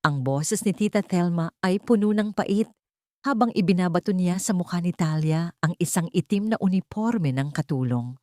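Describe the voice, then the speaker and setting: A woman's voice: on the low side, expressive, moderate pace, mellow, no echo, clean studio sound. A woman reading a dramatic scripted story as its narrator.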